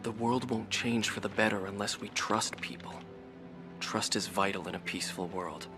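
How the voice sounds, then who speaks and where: Actor as a gravelly, war-weary mercenary pilot. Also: male voice, lowish voice, moderate pace, close to an everyday voice. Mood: resolute, brooding. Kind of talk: dramatic interview-style monologue in a video game. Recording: music behind the voice.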